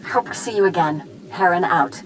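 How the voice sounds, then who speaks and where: A woman's voice: fairly low pitch, normal speed, slight background noise, close to an everyday voice, rough recording. Woman acting a businesslike sci-fi mission briefer. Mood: focused, supportive. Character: earnest, businesslike female mission briefer in science fiction. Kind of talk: video game cutscene acting.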